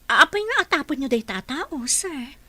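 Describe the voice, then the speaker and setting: A woman's voice: sits high, very animated, moderate pace, pushed and bright, dry recording, exaggerated voice. A woman playing an attentive, encouraging housekeeper and carer in a radio drama, with an Ilocano Filipino accent. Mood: concerned.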